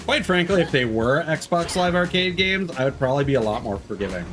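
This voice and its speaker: A man's voice: fairly high pitch, lively intonation, fairly quick. A man doing casual live game commentary, rambling candidly and swearing offhandedly. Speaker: game streamer. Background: game music.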